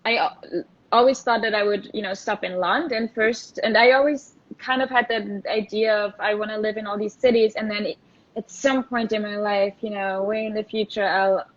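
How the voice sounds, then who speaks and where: A woman's voice: medium pitch, fairly even intonation, normal speed. A young woman from Austria, a guest in a casual interview chat, thoughtful and full of fillers and restarts. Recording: lo-fi video call audio.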